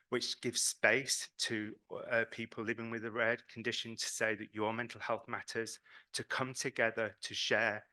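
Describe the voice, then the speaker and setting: British man, bright forceful voice. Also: on the low side. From Britain. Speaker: patient advocacy representative speaking at a conference. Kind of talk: conference presentation, semi-spontaneous.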